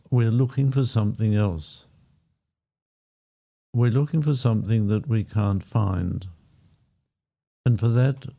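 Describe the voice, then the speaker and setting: A man guiding a meditation in a gentle, unhurried manner, leaving long pauses between short phrases. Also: fairly low pitch, gravelly. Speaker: meditation guide. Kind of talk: guided meditation, softly spoken. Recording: dull, muffled lo-fi sound. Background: background music.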